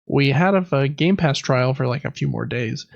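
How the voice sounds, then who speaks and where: A man's voice: medium pitch, normal speed, creaky, dry recording, highs cut off. A man hosting a podcast, chatting informally and with enthusiasm about games. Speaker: podcast host. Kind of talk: casual gaming podcast chat.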